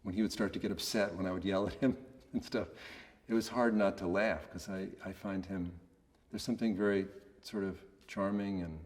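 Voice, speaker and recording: A man giving a casual, reflective interview in a monotonous voice, hesitant and understated as he searches for words. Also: low voice, normal speed, long reverb tail. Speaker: voice actor being interviewed.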